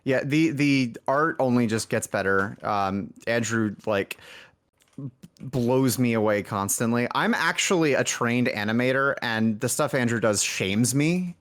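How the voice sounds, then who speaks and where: A man's voice: medium pitch, unhurried, a bit bright. A man who works as a game developer and writer, chatting casually in a group interview in a rambling, hesitant way. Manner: hesitant, rambling, filler-heavy.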